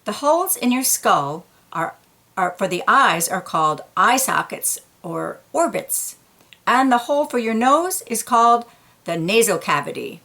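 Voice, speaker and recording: A woman reading a children's picture book aloud in an expressive, deliberate way, with playful emphasis on key words. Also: a little high, gravelly.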